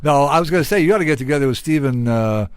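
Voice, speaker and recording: A man's voice: a little high, expressive, racing, clean tone, no echo. An American man hosting a radio interview in a studio, chatting casually with his guest.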